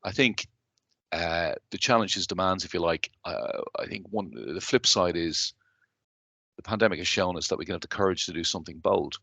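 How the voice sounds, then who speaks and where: A man with a Dublin Irish accent, in his thirties, answering interview questions in a measured, businesslike way with frequent fillers and restarts. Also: sits low, vocal fry, bright, forceful tone, no room sound. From Ireland. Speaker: guest, company chief executive.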